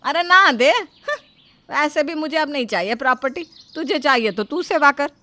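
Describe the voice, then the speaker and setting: A woman voicing a greedy, sharp-tongued middle-aged mother-in-law in a story, loud and dismissive. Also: high-pitched, animated, moderate pace, voice pushed up. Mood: irritated.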